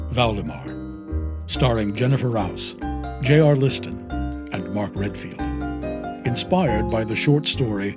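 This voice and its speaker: A man working as an announcer, reading a scripted introduction for radio theater. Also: fairly low pitch. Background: loud music.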